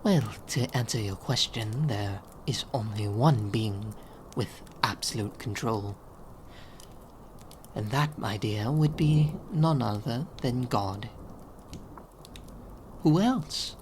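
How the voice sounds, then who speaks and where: A man voicing a regal, gentle owl demon prince in an ASMR audio roleplay, speaking softly and theatrically and slipping into whispers. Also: medium pitch, expressive, slow, pauses often, a little hiss or hum. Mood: teasing, grand. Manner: soft-spoken, theatrical, drifting into whispers. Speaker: voice actor in fan audio roleplay.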